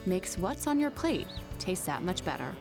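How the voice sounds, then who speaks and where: Woman, travel narration, upbeat, polished, animated pitch, soft music behind. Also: normal speed, vocal fry. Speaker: travel show host. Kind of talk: scripted narration.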